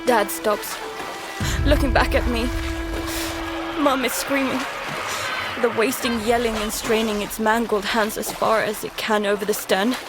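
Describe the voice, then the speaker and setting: A woman playing a terrified young daughter, narrating an audio drama in the first person in halting, emotional fragments. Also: a little high, steady tone, over loud music, lightly acted. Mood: horrified, urgent. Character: terrified young daughter recounting a monster attack at sea.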